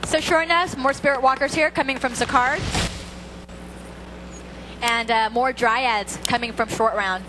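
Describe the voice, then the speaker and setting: High-voiced woman casting a strategy match. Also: steady tone, a little slow, long pauses, background noise. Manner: running play-by-play with frequent fillers. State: losing voice. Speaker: esports caster. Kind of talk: play-by-play game commentary. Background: loud music.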